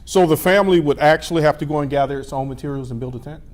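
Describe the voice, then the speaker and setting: A middle-aged man hosting a local TV interview, his voice pitched a little above the usual male range. He asks friendly, easygoing questions and leans on plenty of "uh" and "um" fillers. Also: rapid-fire, darkish tone. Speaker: TV show host.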